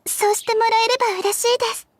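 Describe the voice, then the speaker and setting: A woman's voice: very high-pitched, fairly even intonation, very fast, no echo. A woman voicing a shy, polite, earnest teenage schoolgirl in a Japanese visual novel.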